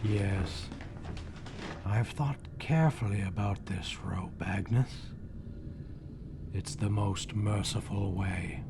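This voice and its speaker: An actor playing a coldly calm, brooding murderous husband in a 1940s radio drama, speaking in a deliberate, hushed, menacing way. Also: male voice, fairly low pitch, gravelly, background noise. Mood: hushed, resolute. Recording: old 1940s radio recording, loud music.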